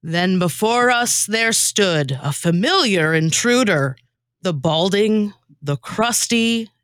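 A woman's voice: medium pitch, expressive, slow pace, fairly bright tone, no echo, studio quality. A woman performing scripted comic radio theatre, narrating in a theatrical storytelling manner. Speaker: former co-host and narrator.

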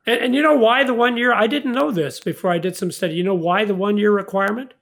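A man's voice: high voice, quick pace, gravelly, fairly bright tone. A man leading a conversational Bible study in a folksy, informal way, often putting leading questions to his partner. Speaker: host of a Bible study discussion.

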